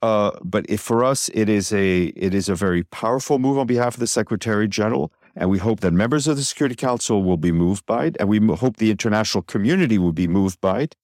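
An American man speaks as an official spokesman. His voice is on the low side, and he answers the press haltingly and diplomatically. The sound has little room in it. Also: lively intonation, a little mellow, no echo, clean studio sound.

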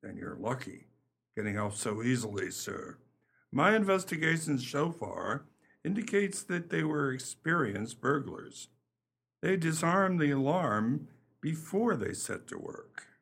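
A man's voice: a little high, very animated, measured pace, creaky, a bit bright, slightly rough, full character voice. A man acting in a radio play as a plodding, deferential police detective, polite and methodical, with a hesitant delivery. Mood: businesslike.

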